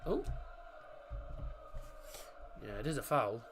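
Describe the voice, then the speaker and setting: A man's voice: mid-range voice, big pitch swings, slow pace, noisy background. A man hosting a live football watchalong, rambling and chatting to viewers, swearing freely. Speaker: watchalong host.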